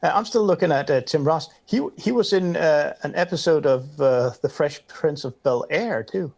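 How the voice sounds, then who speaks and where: A man's voice: on the high side, normal speed, creaky, a little mellow. Man, rambling casual chat. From Denmark. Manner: rambling, looks things up aloud, swears casually. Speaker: podcast panelist.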